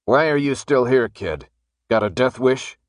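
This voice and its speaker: A man's voice: medium pitch, expressive, unhurried, dry recording, studio quality, close to an everyday voice. A man voicing a stern, angry armed guard in an audiobook, barking curt, menacing orders. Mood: gruff, commanding. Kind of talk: audiobook character dialogue.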